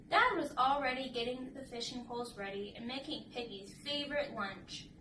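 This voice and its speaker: A woman's voice: a little high, fairly bright tone. A woman reading aloud and talking casually as a children's storybook reader. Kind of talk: children's read-aloud narration and casual chat. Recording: roomy, distant mic with faint noise.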